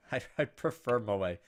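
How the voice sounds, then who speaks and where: A man's voice: mid-range voice, lively intonation, slow pace. A man hosting a gaming video, reading on-screen text aloud and adding flippant commentary. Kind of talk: let's-play commentary mixed with reading game text aloud. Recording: game music behind the voice. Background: video game music.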